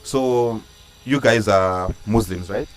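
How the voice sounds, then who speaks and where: A man's voice: lowish voice, slow. A man hosting a casual interview, putting conversational questions to his guests. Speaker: interviewer, host. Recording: faint background noise and ambience.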